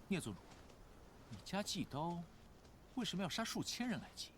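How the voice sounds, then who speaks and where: A man playing a sharp, playfully needling young man in a Chinese animated drama, with a theatrical, probing delivery. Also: high-pitched, a little fast, noisy background. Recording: loud music under the dialogue.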